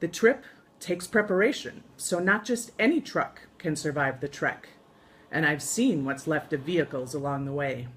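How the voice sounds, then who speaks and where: An American woman reading her own scripted travel memoir aloud in an expressive storytelling manner, with deliberate pauses. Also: deep voice, slight background noise. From United States. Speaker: author reading from own book.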